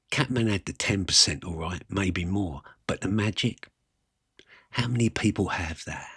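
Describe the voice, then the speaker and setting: A man with a husky voice performing a wry, self-mocking rock and roll fan's conversational monologue about his youth. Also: low voice, a bit bright, no echo, clean studio sound, exaggerated voice. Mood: wistful, self-mocking. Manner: colloquial, self-mocking, reminiscing. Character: Johnny, wry music-mad eighteen-year-old English rock and roll poser. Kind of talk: first-person dramatic monologue, conversational storytelling.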